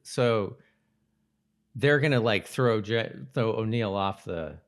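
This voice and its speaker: Low-voiced American man chatting casually. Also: lively intonation, takes it slowly. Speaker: podcast co-host. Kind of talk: casual chat recapping a TV episode.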